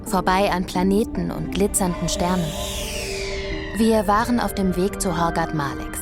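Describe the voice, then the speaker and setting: A woman's voice: fairly low pitch, moderate pace, lightly acted. An actress playing a girl who narrates her space adventure in a scripted children's audio story. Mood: wondering. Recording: music behind.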